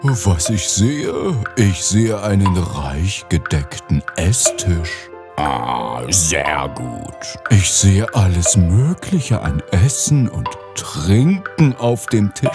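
A man voicing a friendly old donkey leader in a children's fairy tale cartoon, husky, with drawn-out, swooping delivery. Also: sits low, sing-song, over music, heavily acted. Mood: observant.

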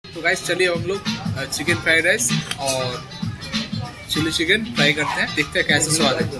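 A man's voice: on the high side, normal speed, low-quality recording. A man from Kolkata, reviewing dishes in a casual food vlog. He talks straight to his viewers as friends and often repeats himself while trying the food in a restaurant. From Kolkata, India. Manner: chatty, addresses viewers as friends, repeats phrases. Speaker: food vlogger. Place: restaurant dining room. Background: loud music.

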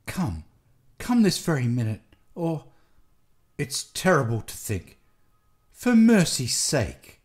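A man's voice: fairly high pitch, huge pitch swings, slow, long pauses, full character voice. A man voicing a desperate, tearful wife who pleads urgently in a dramatic story. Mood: urgent pleading. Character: desperate tearful young wife begging for help.

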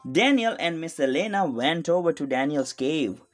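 A woman's voice: low voice, animated, moderate pace, faint music behind. A woman reading a storybook aloud to small children, expressively and with questions to the listener. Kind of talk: animated read-aloud narration to small children.

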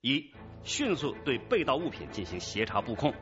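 An actor in a radio drama playing a patient, methodical police squad leader, affable yet authoritative. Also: male voice, mid-range voice, very animated, normal speed, a bit bright, faint music behind. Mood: businesslike, firm. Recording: dull lo-fi radio drama track.

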